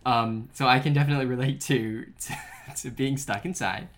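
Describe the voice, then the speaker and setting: A man's voice: mid-range voice, fairly even intonation, normal speed. A man in his early twenties co-hosting a casual, conversational discussion. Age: early 20s. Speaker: co-host. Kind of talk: casual film discussion chat.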